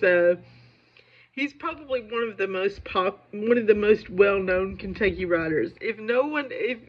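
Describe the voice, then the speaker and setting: A woman with a lingering cold, talking to viewers as a poetry video host in a casual, digressive, self-deprecating monologue with a creaky voice. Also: medium pitch. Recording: dull lo-fi audio.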